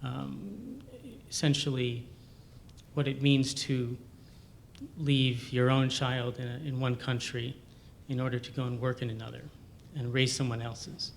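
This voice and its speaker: A man's voice: steady tone, unhurried, creaky. A man introducing a performance in unscripted talk, hesitant and searching for his words as he goes. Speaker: composer. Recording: noisy room with low rumble.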